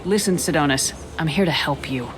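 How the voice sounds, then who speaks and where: A woman playing a steady, compassionate military commander in a video game, speaking calmly and firmly in measured phrases. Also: sits low, natural voice. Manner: calm, firm, measured. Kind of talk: video game cutscene acting. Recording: loud music behind the voice.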